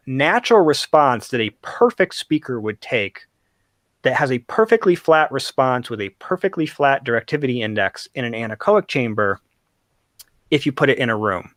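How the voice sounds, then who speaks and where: A man's voice: medium pitch, a bit bright, no room sound. A man giving detailed technical explanations in conversation as an expert guest, carefully qualifying his points.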